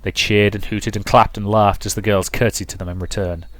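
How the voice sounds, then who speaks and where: A man's voice: lowish voice, flat delivery, brisk, a bit bright, no room sound. A man reading a visual novel aloud as dramatic story narration. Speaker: let's play narrator.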